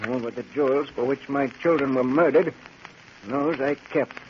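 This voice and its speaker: An actor playing a grieving, vengeful old man in a 1940s radio drama, speaking with grave, deliberate intensity. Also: mid-range voice, natural voice. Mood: coldly determined. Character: grieving, vengeful old man, once wealthy, coldly determined. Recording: muffled, hissy 1940s radio recording.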